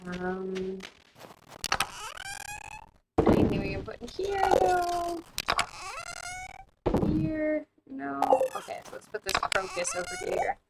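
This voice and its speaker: A woman's voice: high voice, very animated, slow pace, pauses often, very noisy. Chatty American woman streaming a game. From United States. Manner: chatty, thinks aloud with fillers. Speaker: streamer and host. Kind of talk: casual chat while playing a game. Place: home, living abroad.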